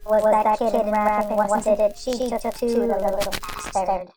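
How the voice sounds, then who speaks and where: A woman's voice: mid-range voice, level tone, moderate pace, over music, lightly acted. A woman voicing a small tentacled monster boss in an audio story, demanding and scornful. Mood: irritated, demanding. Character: small tentacled pocket monster boss, bossy, contemptuous, foul-mouthed.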